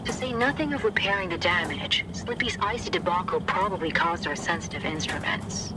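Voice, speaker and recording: An actress playing a fussy, worried research scientist in a video game. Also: female voice, on the low side, brisk, very noisy, natural voice.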